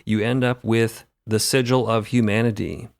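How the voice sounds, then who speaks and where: A man's voice: lowish voice, steady tone, normal speed, vocal fry. A man playing a tabletop roleplaying game, improvising descriptions in a theatrical, ornate way.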